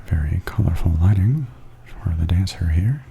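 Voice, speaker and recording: A man giving softly spoken ASMR tour commentary in a breathy half-whisper, calmly and gently describing things as a host. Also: low voice. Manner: calm, unhurried, gently descriptive.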